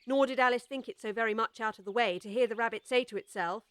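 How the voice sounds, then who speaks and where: A woman narrating a children's storybook audiobook with expressive, scripted storytelling. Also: on the high side, fast talker, no room sound.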